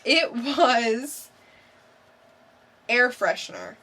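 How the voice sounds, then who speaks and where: A woman's voice: fairly high pitch, expressive, very slow, sharp tone. A woman co-hosting a podcast, chatting casually and informally in a blunt way. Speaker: podcast co-host. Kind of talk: casual quiz banter.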